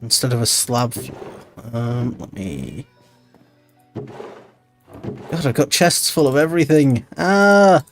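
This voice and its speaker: Streamer, male, tired and snuffly, lively up-and-down delivery, rambling self-talk over faint background music. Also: mid-range voice, takes it slowly, long pauses. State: tired and stuffy-nosed. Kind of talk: casual gameplay commentary.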